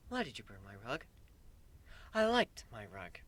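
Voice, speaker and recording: A man voicing a stern royal pony princess with quiet, restrained displeasure in a story reading. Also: mid-range voice, huge pitch swings, moderate pace, voice pushed down. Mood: displeased.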